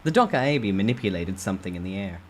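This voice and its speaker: A man's voice: sits low, animated, quick pace. A man reading a first-person story aloud as a dramatic storytime narration.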